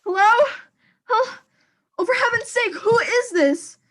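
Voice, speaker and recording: A woman's voice: very high-pitched, expressive, measured pace, fairly bright tone, full character voice. A woman playing a fretful, imperious bedridden wife in a suspense radio play, insistent and agitated. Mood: frantic, impatient.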